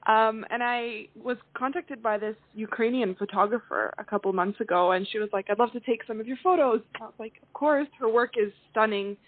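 A woman's voice: on the high side, brisk, hardly any pauses, dry recording. A young woman from Croatia, a singer guest, chatting easily with many likes and ums. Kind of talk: casual interview chat. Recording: dry, phone-like line.

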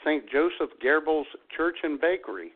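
A man's voice: a little high, animated, normal speed. A man hosting a comedy podcast, chatting casually in a wry, mock-solemn way. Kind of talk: casual joking banter. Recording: thin phone-like band-limited audio.

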